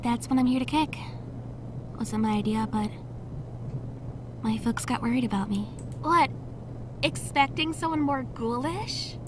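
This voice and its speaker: A woman's voice: fairly high pitch, unhurried, pauses often, noisy background, pitched above the performer's own voice, natural voice. A woman voicing a plucky young woman in withdrawal with dramatic, emotional delivery for a visual novel. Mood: perky, friendly. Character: young woman in withdrawal, plucky but desperate when locked up. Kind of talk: visual novel character acting.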